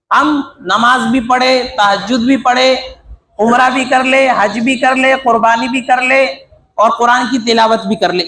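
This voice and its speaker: A man with an Urdu accent giving an earnest religious talk, listing devout phrases in a steady, exhorting rhythm. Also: very high voice. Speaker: Islamic preacher. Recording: echoey room with faint background noise.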